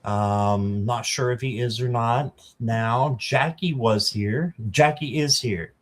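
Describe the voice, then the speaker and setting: A man in his fifties hosting a casual livestream, greeting viewers by name and filling pauses with ums. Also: medium pitch. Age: 50s. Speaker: livestream host.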